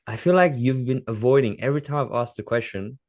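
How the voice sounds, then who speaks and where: A man's voice: medium pitch, expressive, quick pace, a little mellow. A man co-hosting a casual podcast, asking probing questions with a teasing edge. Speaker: podcast co-host.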